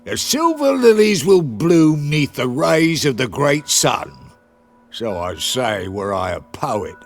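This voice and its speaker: An actor playing a hard-bitten guerrilla commander in a game, with a sardonic, clipped delivery. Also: male voice, mid-range voice, big pitch swings, takes it slowly, soft background music, exaggerated voice. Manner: sardonic, clipped, sometimes florid. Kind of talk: cinematic game voice acting.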